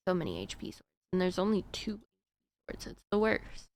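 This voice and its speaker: A woman's voice: fairly low pitch, very animated, normal speed, gravelly, darkish tone. A woman streamer chatting casually while she plays, answering her chat with plenty of fillers and little laughs.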